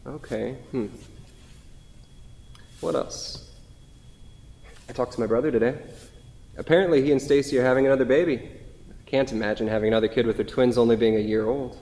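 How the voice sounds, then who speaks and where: A man acts out a grieving widower in a staged play reading. He is wistful and gently rueful, with a mid-pitched male voice. The sound rings with long reverb in a hall. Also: a little fast, long pauses, natural voice. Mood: subdued regret.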